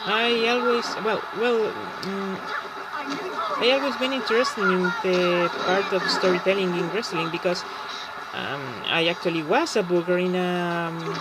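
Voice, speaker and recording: Man, Chilean Spanish accent, native Spanish speaker, slow halting delivery, loud background noise. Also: high voice, steady tone. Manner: halting, searching for words with many fillers. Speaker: gaming channel host. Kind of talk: casual commentary over gameplay.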